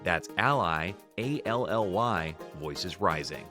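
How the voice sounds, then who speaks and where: A man reading a polished advertisement, his low voice rising and falling with a lot of movement. A clear music bed plays underneath him as he speaks. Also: lively intonation, slow. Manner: polished, smooth delivery. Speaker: ad announcer. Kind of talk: scripted ad read. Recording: studio read over music.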